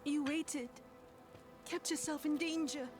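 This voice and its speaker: Solemn, slow-spoken haunted survivor. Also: female voice, sits high, fairly even intonation, over music. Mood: tender. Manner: solemn, hushed, deliberate. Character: haunted female survivor, grave and steadfast. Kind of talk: dark fantasy game acting.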